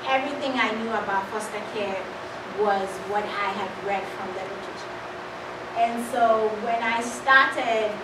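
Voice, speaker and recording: A woman from Ghana in her thirties with a Ghanaian English accent, giving an earnest, conversational academic talk as a doctoral student. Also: medium pitch. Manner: earnest, conversational, checks in with "right?". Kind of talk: academic presentation. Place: classroom with projector screen. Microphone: far camera. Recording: echoey room, far mic, loud room noise.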